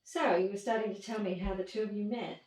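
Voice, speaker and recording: A woman's voice: medium pitch, steady tone, quick pace, darkish tone, reverberant, recorded from a distance, lightly acted. A woman reading the part of a curious, astonished woman asking questions in a comedy scene, off camera in a room. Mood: curious, surprised. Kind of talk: reading scene lines opposite an actor. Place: indoor room, away from the mic.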